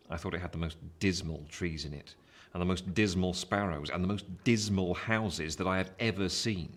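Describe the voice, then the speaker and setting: A man playing a wry, gloomy gentleman, narrating a Victorian tale in the first person in measured phrases. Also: low voice.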